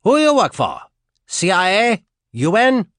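A man's voice: high-pitched, wildly swooping pitch, a little slow, a bit bright, no echo, clean studio sound, voice pushed up, heavily acted. A man voicing a brutal soldier who barks curt questions. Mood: barking. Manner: barking, curt.

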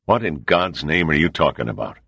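A man voicing a gruff, angry male admiral who speaks bluntly and confrontationally. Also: rumbling low, animated, fairly quick, a bit bright, dry recording, muffled recording, exaggerated voice. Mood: stern.

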